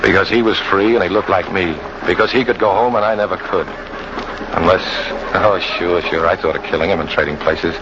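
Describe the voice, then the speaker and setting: A low-voiced, bitter hunting guide played by a man narrates in tough, colloquial radio-drama style. He broods like a hardened fugitive. The old recording is muffled and very noisy. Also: fairly even intonation, fairly quick, lightly acted. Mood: brooding, bitter. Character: bitter hard-boiled jungle hunting guide, fugitive, confessing his hatred.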